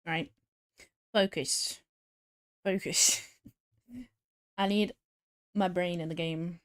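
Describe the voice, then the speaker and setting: A woman's voice: on the low side, steady tone, drawn-out words, no room sound. A woman streamer chatting casually and giggling as she answers viewers while she draws. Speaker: VTuber streamer.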